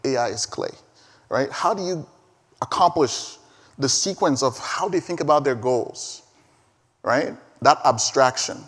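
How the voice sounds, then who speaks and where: An energetic man whose first language is Igbo speaks on stage at a conference in an ordinary mid-range voice. He is emphatic and keeps doubling back on his words. His voice carries a long reverb from a large hall. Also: medium pitch, vocal fry. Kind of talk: live keynote talk and Q&A.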